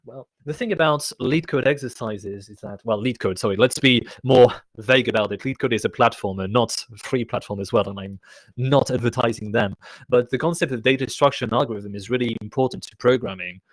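A man hosting a live online conference Q&A, chatty and rambling, his voice getting raspy. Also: medium pitch, a little fast, dry recording, low-quality recording. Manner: chatty, rambling, self-correcting. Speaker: conference host. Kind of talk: casual live Q&A moderation.

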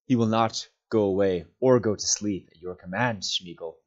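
A man's voice: lowish voice, dull audio, close to an everyday voice. A man voicing an earnest hobbit master in a storybook reading, stern yet merciful, speaking firmly and solemnly. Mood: insistent. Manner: firm, solemn, measured.

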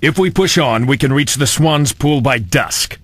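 An actor playing a ruthless, arrogant young heir to a warlord clan, speaking imperiously and with menace in a video game. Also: male voice, medium pitch, measured pace, slightly rough, no echo. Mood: cold, possessive. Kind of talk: video game cutscene acting. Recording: dramatic game music behind.

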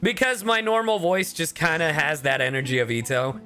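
A male streamer with a forceful, bright voice and very lively pitch. He chats casually and playfully with his viewers, faint game music underneath. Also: normal speed. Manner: animated, playful, casual swearing. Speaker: streamer and voice actor. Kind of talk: casual livestream chat. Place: indoor livestream with game music.

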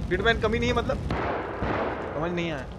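A man's voice: sits high, lively intonation, brisk, very noisy. A man with a Bihari accent giving colloquial, slangy live gameplay commentary, playfully repeating his phrases. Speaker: game streamer.

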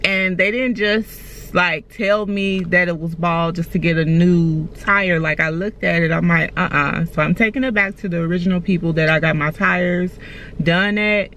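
A woman's voice: fairly low pitch, fairly even intonation, moderate pace, a bit bright, a little hiss or hum. A young woman vlogging to her phone from inside a car, chatty and advice-giving, calling her viewers y'all. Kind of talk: casual vlog talk to camera. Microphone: phone camera at arm's length.

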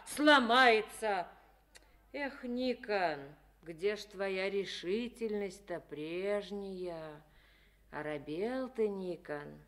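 A bold village chairwoman in a Soviet radio drama. She speaks slowly and forthrightly, in a folksy, teasing way, with a big-hearted air. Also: on the high side. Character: bold, teasing, warm-hearted woman collective farm chair. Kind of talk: Soviet radio play acting.

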